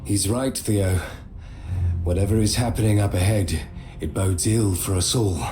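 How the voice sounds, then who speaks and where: A man playing a solemn medieval knight in a video game, speaking in a grave, measured manner. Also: fairly low pitch, mellow, a little hiss or hum, lightly acted. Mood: grim. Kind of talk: video game cutscene acting. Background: dramatic music.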